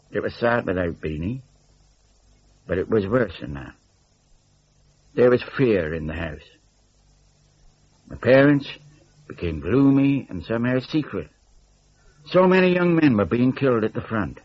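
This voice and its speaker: A man's voice: medium pitch, husky. A man narrating a scripted first-person story in a wistful, reflective way. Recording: dull, band-limited audio.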